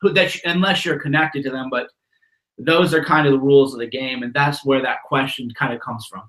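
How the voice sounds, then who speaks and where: Man, host, casual interview, frank, bright voice, echoey room. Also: mid-range voice, fairly even intonation, fairly quick. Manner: conversational, frank, with restarts. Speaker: host of call-in show.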